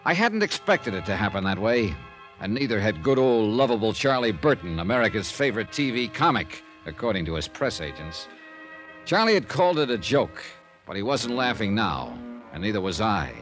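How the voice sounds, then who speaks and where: An actor playing a brisk, wry freelance insurance investigator who narrates and talks in a dry, clipped way, in a 1950s radio drama. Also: male voice, lowish voice, very animated, fairly bright tone, soft background music. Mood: narrating. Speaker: narrator and lead.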